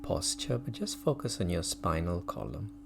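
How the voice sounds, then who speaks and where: A man leading a guided mindfulness meditation as a teacher, speaking gently with long pauses between phrases. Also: low-pitched, very animated, creaky, noisy background.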